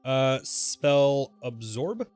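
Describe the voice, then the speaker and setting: A man live-streaming a game with casual, exclamatory commentary, reacting out loud and swearing freely. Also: medium pitch, animated, drawn-out words, clean tone, soft background music.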